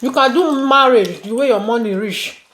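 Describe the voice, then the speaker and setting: A woman's voice: fairly high pitch, moderate pace, a bit bright. A woman with a Nigerian accent hosting a mukbang vlog, chatting casually as she eats. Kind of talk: casual chat while eating. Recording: roomy echo, faint noise, dish clinks. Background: clicks, cutlery and dishes.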